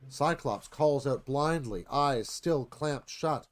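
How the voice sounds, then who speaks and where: A man's voice: mid-range voice, slow pace. Man narrating a radio theatre screenplay. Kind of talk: scripted narration, screenplay stage directions.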